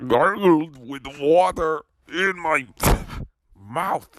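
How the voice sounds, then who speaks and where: A man's voice: on the high side, extremely slow, a bit bright, no room sound. A man playing a defiant, scrappy young hero in an amateur action drama, with an exclamatory manner. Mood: indignant.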